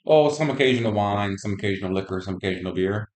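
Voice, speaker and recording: A man's voice: on the low side, animated, fast, darkish tone. A man answering health interview questions as a patient, in brief, plain replies. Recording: roomy, echoing voice pickup.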